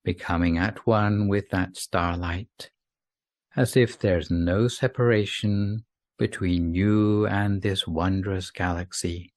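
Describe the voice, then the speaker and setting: A man leading a scripted sleep meditation in a soothing, gentle, unhurried manner, leaving long pauses between phrases. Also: low-pitched, fairly even intonation, no echo. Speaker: meditation guide.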